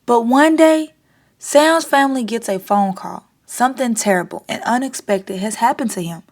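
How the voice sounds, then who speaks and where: A woman hosting a storytime, reading a children's picture book aloud in an expressive, deliberate way. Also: a little high.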